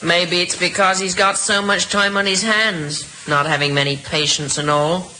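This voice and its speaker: An actress playing a sceptical, gossiping household cook in an old-time radio drama, dry and knowing. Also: female voice, lowish voice, fairly even intonation, moderate pace, pushed and bright, low-quality recording. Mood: sceptical, gossipy. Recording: hissy vintage radio recording.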